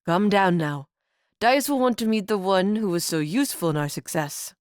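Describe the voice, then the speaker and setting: A woman voicing a calm, very tall cloaked man with a thick accent, drawing out his vowels slowly in a storybook reading. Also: mid-range voice, dry recording, clean studio sound, close to an everyday voice. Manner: slow, overemphasized vowels.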